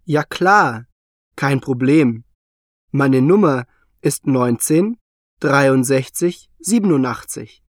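A man reading scripted lines for a language listening exam, slowly and deliberately. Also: mid-range voice, lively intonation, dry recording, studio quality. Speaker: exam dialogue reader.

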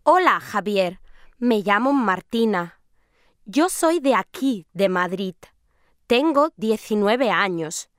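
A woman's voice: mid-range voice, expressive, slow, no room sound, studio quality. A woman playing a friendly young woman in a scripted language-lesson dialogue, speaking carefully. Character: friendly young woman from Madrid, aged nineteen.

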